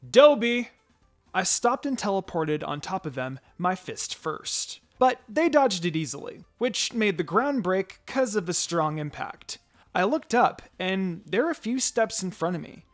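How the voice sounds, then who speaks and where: A man's voice: high-pitched, big pitch swings, measured pace. A man reading a first-person story aloud in a dramatized narrating style, switching between telling and dialogue. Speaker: fan fiction reader. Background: background music.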